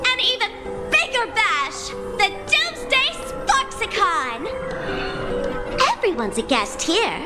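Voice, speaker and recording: A woman's voice: extremely high pitch, huge pitch swings, slow, over loud music, exaggerated voice. A woman playing a young, cheeky female prankster ringleader in a video game, sassy, teasing and theatrical. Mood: gleeful, rousing. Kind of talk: animated video game acting.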